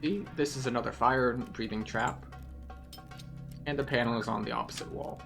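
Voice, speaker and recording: A man's voice: medium pitch, steady tone, normal speed, gravelly, fairly bright tone, faint music behind. A man giving explanatory, methodical commentary as he guides listeners through a video game playthrough.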